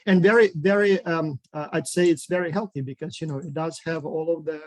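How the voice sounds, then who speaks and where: A man's voice: a little high, steady tone, fairly quick, a little mellow, no room sound. A man from Ukraine chatting casually on a video call, hesitant with many ums and restarts. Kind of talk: casual online group chat.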